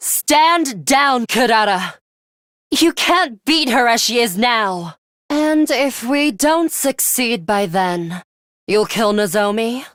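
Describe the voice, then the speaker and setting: A woman playing a stern, ruthless young female fighter in a video game, speaking in a terse, measured and commanding manner. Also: on the high side, expressive, a bit bright, no echo, studio quality. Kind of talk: scripted video game voice acting.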